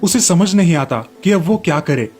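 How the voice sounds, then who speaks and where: Guy narrator telling kids moral stories, voice a bit high, scripted, soft music under it. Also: animated, normal speed.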